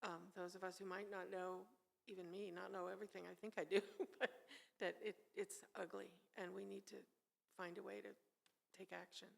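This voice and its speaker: A woman's voice: medium pitch, flat delivery, fairly quick, gravelly. A middle-aged or older woman speaking candidly and reflectively in a group book discussion. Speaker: class participant.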